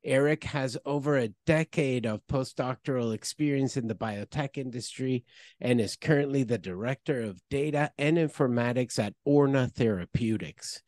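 A man's voice: medium pitch, moderate pace, darkish tone, no room sound. A middle-aged man hosting an interview over a video call, reading formal, enthusiastic introductions into a headset mic. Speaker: interview host. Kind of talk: scripted intro then interview questions. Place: office with branded backdrop. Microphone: headset with boom mic on a video call.

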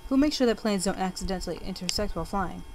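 A woman's voice: medium pitch, quick pace, background noise. A young woman, a student narrator, reading a formal documentary script. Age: young adult. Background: music bed.

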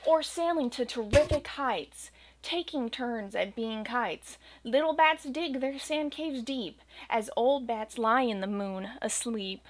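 A young woman reading a rhyming children's picture book aloud at home, with a gentle, expressive storytelling cadence. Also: on the high side, takes it slowly, slight background noise, low-quality recording. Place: small home room with bookshelves and green screen.

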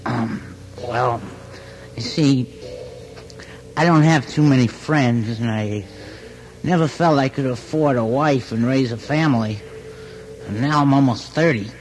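An actor playing a lonely, timid mailman of about thirty in a radio drama, meek and halting. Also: medium pitch, darkish tone, a little hiss or hum, lightly acted, rough recording. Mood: meek, downcast. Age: around 30.

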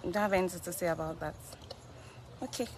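Brisk woman reporter. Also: lowish voice, a little mellow, slight background noise. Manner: brisk, prompting, many okays. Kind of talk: live news interview and walkthrough. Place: outdoor compound, then indoor rooms.

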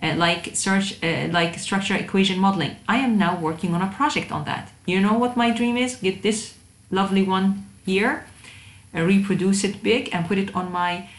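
A woman's voice: mid-range voice, normal speed. A woman instructor giving an informal lecture to students, addressing them directly, with a hesitant delivery that often repeats words. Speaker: university instructor of digital marketing. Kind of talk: informal online lecture over slides. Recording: roomy echo, faint background noise.

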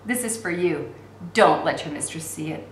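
A woman's voice: low voice, moderate pace, pitched below the performer's own voice, natural voice. Woman reading as a chatty young shopkeeper, roomy sound. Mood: earnest. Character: friendly, talkative young male shopkeeper, quietly conspiratorial. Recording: roomy echo with faint background noise.

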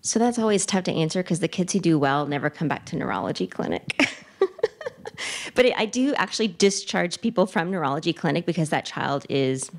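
Low-voiced woman neurologist at a talk. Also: animated, fairly quick, vocal fry. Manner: thoughtful, with many fillers and restarts; gestures with her free hand while speaking. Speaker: child neurologist. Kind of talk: answering questions at a medical talk. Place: conference room in front of a wooden wall. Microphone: handheld microphone.

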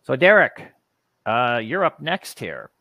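A man's voice: medium pitch, wildly swooping pitch, slow, creaky, dry recording, dull audio. Chatty male panel host, dry sound. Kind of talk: live webinar moderating. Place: indoor room, video call. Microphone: video call microphone.

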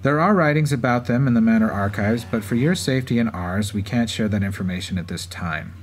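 A man's voice: on the low side, a little fast, close to an everyday voice. A man co-hosting a podcast with scripted banter and historical storytelling. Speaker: podcast co-host. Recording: faint background noise and ambience.

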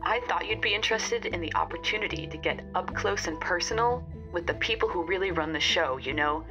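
A woman plays a chatty, old-fashioned helper in a podcast drama. She confides in a lowish voice, with clear music playing behind her. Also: brisk, muffled recording, natural voice. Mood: chatty, confiding. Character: Nancy, chatty, helpful, slightly old-fashioned female informant. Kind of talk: audio fiction podcast acting.

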